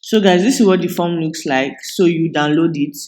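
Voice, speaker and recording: Nigerian male host, tutorial voice-over, step-by-step explainer, slightly higher voice. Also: moderate pace. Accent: Nigerian English. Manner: explains step by step, relaxed, with frequent restarts. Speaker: video host. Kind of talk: screen-recorded tutorial walkthrough.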